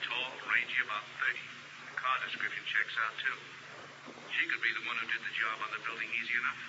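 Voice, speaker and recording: A man playing a brisk, businesslike police colleague reporting in, in a 1950s radio drama. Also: mid-range voice, level tone, sharp tone. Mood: brisk, intent. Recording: dull, noisy old radio, phone-like.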